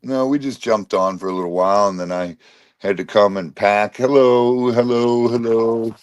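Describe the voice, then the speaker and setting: A man's voice: on the low side, fairly even intonation, moderate pace. A man co-hosting a casual livestream, his sinuses acting up, greeting viewers one by one by name. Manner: reads out viewers' names in greetings. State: congested sinuses. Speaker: co-host.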